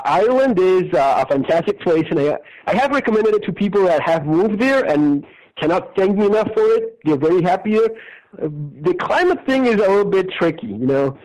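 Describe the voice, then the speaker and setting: Man, halting and thoughtful, radio. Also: on the high side, poor audio. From Argentina. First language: Spanish. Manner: thoughtful, halting, many restarts and soft laughs. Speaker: guest. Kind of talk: casual interview conversation.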